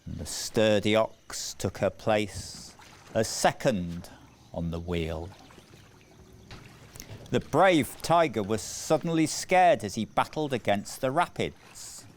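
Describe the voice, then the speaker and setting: A man narrating a scripted children's story in a measured, deliberate way with dramatic phrasing. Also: fairly low pitch, slight background noise. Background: music.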